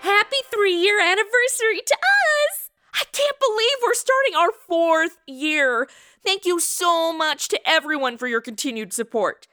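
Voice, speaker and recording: A woman's voice: very high-pitched, sing-song, a little slow, pushed and bright, soft background music. A woman hosting and acting in a playful radio comedy, with a perky, modern, slangy delivery. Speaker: show host and lead actress.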